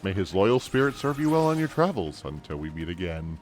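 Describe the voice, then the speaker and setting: A man's voice: low voice, very animated, fairly quick, faint music behind. A man voicing a courteous, cryptic quest giver in a fantasy game, formal and theatrical. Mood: gracious.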